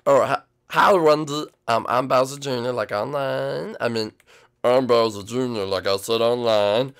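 A man voicing an excitable, whiny seven-year-old cartoon monster boy who nervously repeats himself. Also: medium pitch, a little slow, clear, resonant tone. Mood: awkward, bashful. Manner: nervous, boastful, whiny, repeats words frantically.

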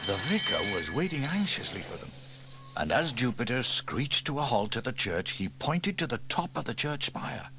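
A man reads a children's story aloud in a very lively, swooping voice. He keeps it bright and animated for young listeners. A faint tape hiss runs underneath, as from an old cassette. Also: normal speed. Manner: lively, switches into higher voices for characters. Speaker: audiobook reader. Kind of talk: storybook narration with character voices.

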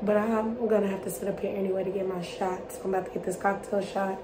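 A young woman, chatting casually to her viewers in a vlog, congested and hoarse from a cold. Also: medium pitch, level tone, fast, noisy background. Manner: chatty, addresses viewers as y'all. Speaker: vlogger. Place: parked car.

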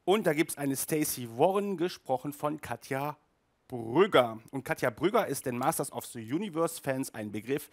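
A man in his thirties hosting a casual video review, chatty and halting, with emphatic asides, speaking into a headset mic. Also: a little high, expressive. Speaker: host of an audio drama review show. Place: small furnished room indoors.

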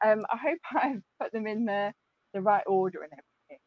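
A woman's voice: mid-range voice, brisk, no room sound, highs cut off. A young woman hosting a livestream from home, chatty and apologetic, laughing often as she talks into a desk mic. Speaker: livestream host. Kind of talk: casual livestream chat. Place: home room with shelves. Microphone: desk microphone on a stand.

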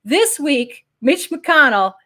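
A middle-aged woman hosting a political discussion show over a video call, who gets excited and jumps up into a higher voice. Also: slow. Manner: excitable, pitch jumps high when excited. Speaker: show host. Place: home room with bookshelves.

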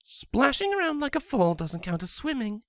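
A female pony soldier and newlywed wife, acted out by a man in a story reading. The voice is pitched high and kept soft, with a sweet, playful tease in it. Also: big pitch swings, normal speed, no room sound, clean studio sound, full character voice. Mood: playful, skeptical.

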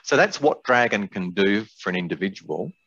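Low-voiced older man presenting, roomy sound. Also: lively intonation, moderate pace, vocal fry, fairly bright tone, breathy edge. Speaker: presenter, software expert. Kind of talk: webinar presentation with product demonstration. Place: indoors, small room on a video call. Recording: dull, roomy webinar audio.

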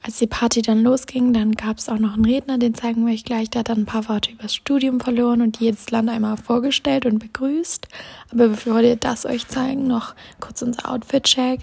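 Student vlog voice-over: a young woman, mellow and a bit raspy, fairly high, chatty and enthusiastic. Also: level tone, brisk. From southwestern Germany. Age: 20s. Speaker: student ambassador vlogger. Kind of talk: voice-over narration.

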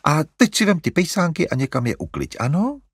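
Male reader doing a high-pitched flustered mum, coaxing, voice jumping around. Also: huge pitch swings, slightly rough, no echo, studio quality, exaggerated voice. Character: fussy, fretful middle-aged mother.

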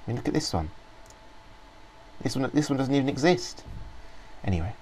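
Rambling man demoing software. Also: medium pitch, lively intonation, a little fast, a little hiss or hum. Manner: rambling, many ums and restarts. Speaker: software reviewer. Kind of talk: casual screen-recorded software walkthrough.

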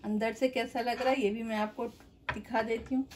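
A middle-aged woman walks viewers through a home recipe at her kitchen counter, chatty and explaining each step as she works. Her voice sits a little high for a woman. She keeps turning to the viewer as she goes. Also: fairly even intonation, normal speed, a little mellow, slight background noise. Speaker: cooking channel host. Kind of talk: home cooking demonstration.

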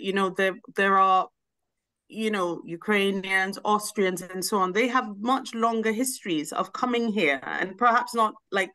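A woman's voice: mid-range voice, a bit bright. A woman speaking on a video call, explaining history casually with lots of hedging fillers. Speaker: webinar speaker.

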